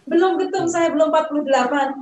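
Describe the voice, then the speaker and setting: Roomy, higher-voiced Indonesian-speaking woman moderating. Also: fast. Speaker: webinar moderator. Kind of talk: hosting an online question-and-answer session. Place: online video meeting. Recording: roomy, distant mic, faint noise.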